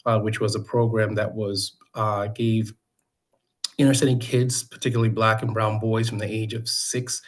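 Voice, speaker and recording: A middle-aged American man from Boston, speaking informally and haltingly as a council candidate introducing himself to a community meeting. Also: on the low side, little pitch movement. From Boston, United States.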